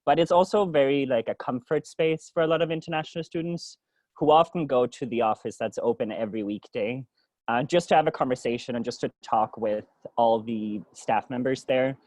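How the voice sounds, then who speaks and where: A man's voice: mid-range voice, fairly quick, a little mellow, dry recording, rough recording. Young Danish man, close dry sound. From Denmark. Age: young adult. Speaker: student government president. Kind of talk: informal orientation presentation.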